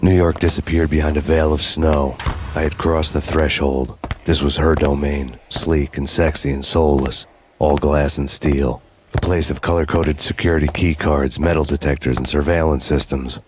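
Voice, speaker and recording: An actor playing a brooding, vengeful hardboiled cop, narrating in a grim, deadpan noir style. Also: male voice, rumbling low, moderate pace, noisy background. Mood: grim, determined.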